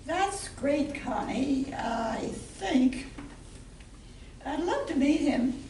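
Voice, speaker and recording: A curious, polite lady friend played by a woman in an amateur stage comedy. She is high-pitched and unhurried. The recording is distant and echoey. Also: animated, a little mellow, exaggerated voice. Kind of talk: amateur stage comedy radio play acting. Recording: echoey room from far off, faint noise.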